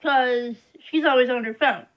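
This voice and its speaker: A woman's voice: sits high, moderate pace, dull audio. A young woman vlogging to camera, rambling as she complains in frustration. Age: young adult. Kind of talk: casual venting monologue.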